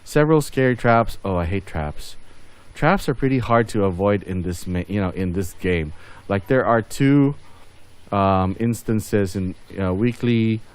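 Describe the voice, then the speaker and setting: A man's voice: lowish voice, measured pace, clean tone. A man doing casual gameplay commentary as host of a gaming video, rambling and thinking aloud as he goes.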